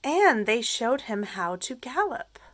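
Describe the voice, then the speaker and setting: Animated, unhurried woman reading a storybook. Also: a little high, dry recording. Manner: pauses to explain words simply to children. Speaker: teacher reading to young class. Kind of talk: expressive children's read-aloud storytelling.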